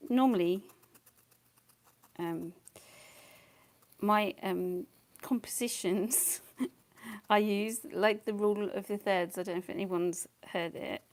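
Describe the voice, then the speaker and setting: A woman talks through a live watercolour session with a slightly worn voice, as if she is losing it. She thinks aloud, rambles and drops into quiet mutters under her breath between explanations. Her pitch sits right in the middle. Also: slow, long pauses. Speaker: watercolour artist teaching. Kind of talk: casual live painting talk.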